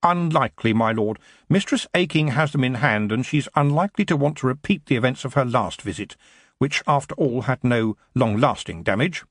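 A man's voice: medium pitch, lively intonation, a little fast, no echo, clean studio sound, close to an everyday voice. A man voicing a fussy, deferential clerk in an audiobook, primly precise, polite and faintly disdainful. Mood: earnest, deferential.

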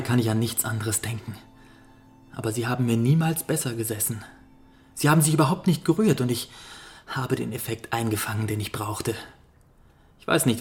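An actor playing an earnest, devoted gentleman painter in a German radio play, courteous and somewhat pleading. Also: medium pitch, close to an everyday voice. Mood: apologetic, admiring.

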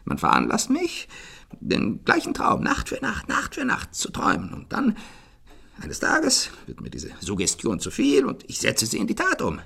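An actor playing a wealthy, agitated man in a German radio crime drama, speaking vehemently and haltingly in a husky voice that can turn quavering. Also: sits high, wildly swooping pitch, bright, forceful tone, full character voice. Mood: insistent. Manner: vehement, halting, repeating words for emphasis. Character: wealthy, agitated man haunted by a suicide dream.

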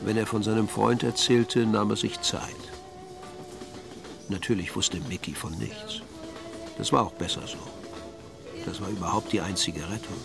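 An actor as the detached narrator of a German spy radio play, delivering terse, measured scripted storytelling. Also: male voice, on the low side, expressive, vocal fry, music in the background. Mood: measured, wry.

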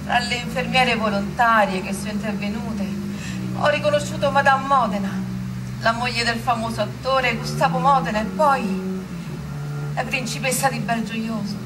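An earnest townswoman, played by a woman, gives stage testimony as an eyewitness to revolution. Her voice is fairly high, with clear ambient noise around it. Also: normal speed, close to an everyday voice. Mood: solemn recollection. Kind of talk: theatrical first-person testimony.

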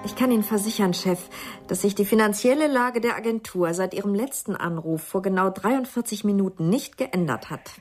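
An actress playing a cool, dutiful secretary at a detective agency, formal and drily precise, in a radio crime play. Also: female voice, mid-range voice, brisk, a little mellow, soft background music, lightly acted. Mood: cool, businesslike.

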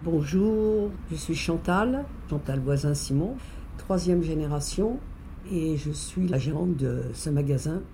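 A woman's voice: deep voice, animated, a little slow, mellow, a little hiss or hum. A woman in her seventies from Paris, answering as an interviewed shopkeeper. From Paris, France. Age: 70s. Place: small umbrella boutique. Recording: faint shop ambience.

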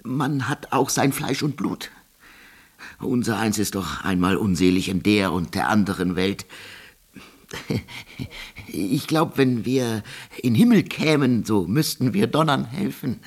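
An actor playing a poor, submissive common soldier in a radio drama, halting, deferential and plain-spoken. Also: male voice, on the low side, expressive, slightly rough, heavily acted. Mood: bitter, resigned. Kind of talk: German radio drama acting.

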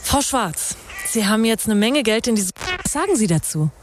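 A woman's voice: on the high side, very animated, normal speed, soft background music. An actress playing a pushy, easily irritated woman journalist in a naturalistic radio drama. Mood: eager, coaxing.

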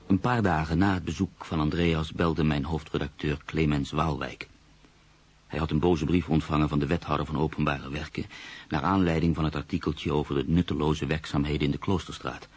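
An actor playing a reflective, skeptical journalist in a radio play, telling his own story in a measured, literary manner. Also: rumbling low, steady tone, husky. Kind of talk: radio play, first-person narration and dialogue.

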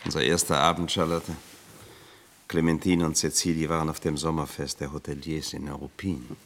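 An actor playing a sickly, scheming retired officer in a radio play, pompous and wheedling in stilted, flowery speech. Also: male voice, very deep voice, little pitch movement, moderate pace, fairly bright tone, exaggerated voice. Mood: plaintive, wistful. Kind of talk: German radio play acting.

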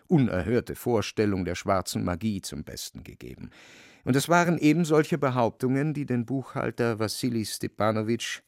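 A man's voice: on the low side, gravelly, no echo, studio quality. A man narrating a literary radio drama in a wry, dramatic storytelling manner.

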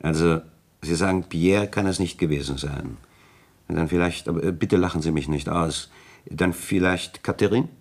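A man playing a courteous, self-assured village notary in a German radio crime drama, husky and formally chatty. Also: low-pitched, normal speed. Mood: confiding, insinuating.

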